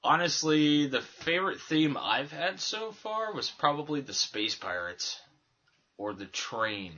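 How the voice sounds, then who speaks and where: Bright, sharp-voiced wisecracking man. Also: on the high side, big pitch swings, a little slow. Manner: jokey, wisecracking. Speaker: podcast co-host. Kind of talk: casual chat. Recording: dull lo-fi recording.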